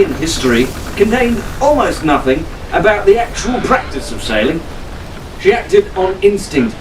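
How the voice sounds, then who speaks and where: Male audiobook storyteller, dramatic scripted delivery, fairly high pitch, somewhat distant mic with background noise.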